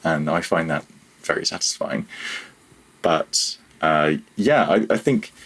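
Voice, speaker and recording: A man's voice: extremely low pitch, animated, slow pace, fairly bright tone, breathy edge, rough recording. A man talking casually as an interview guest, reflective and self-deprecating about himself. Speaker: podcast guest, freelance audio programmer.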